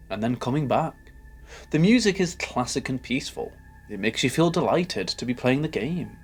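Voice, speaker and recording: A man narrating a scripted horror game video essay with a dramatic, storytelling delivery, posing rhetorical questions. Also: mid-range voice. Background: background music.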